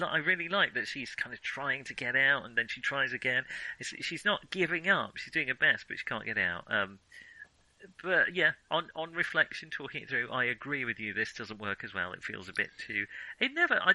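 A man's voice: medium pitch, big pitch swings, normal speed, sharp tone, dry recording, poor audio. A man in a casual film discussion as a podcast co-host, thinking aloud and often correcting himself as he goes.

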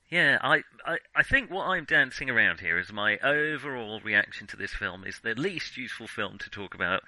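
A man with a very bright, forceful voice in a dry, close recording. He co-hosts a casual film chat, feeling his way through opinions with hedges and sentences that often restart halfway. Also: medium pitch, no room sound, rough recording. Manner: halting, hedging, often restarts sentences mid-thought. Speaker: podcast co-host.

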